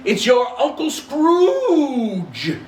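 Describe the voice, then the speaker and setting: Man putting on a high, excited young woman. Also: very slow, heavily acted. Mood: gleeful. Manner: exclamatory, gleeful. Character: excited plump young woman at party game. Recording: roomy, distant mic, faint background noise.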